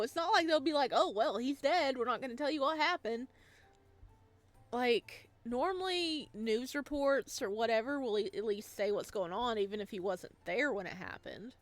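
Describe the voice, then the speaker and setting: A woman giving informal let's play commentary, reacting excitably and thinking out loud as she goes. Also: a little high, no room sound. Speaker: gaming video creator playing a visual novel. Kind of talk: let's play commentary, reading dialogue aloud.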